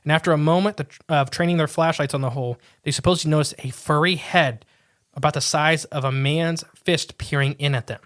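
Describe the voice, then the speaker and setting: A man reads a creepy story aloud as a podcast co-host, his voice sitting a bit higher than most men's. He trips over words and restarts often, then breaks into casual asides. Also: fairly even intonation, fairly quick, a bit bright. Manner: stumbles and restarts often while reading.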